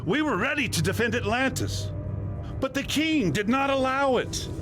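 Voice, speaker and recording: A man's voice: very high-pitched, lively intonation, normal speed, over music. A man playing an indignant, martial undersea defender in a dramatic video game cutscene.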